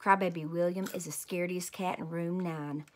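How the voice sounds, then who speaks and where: A woman reading a storybook aloud as a children's host, expressive and talking to young listeners. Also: on the low side, moderate pace. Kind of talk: animated storybook read-aloud.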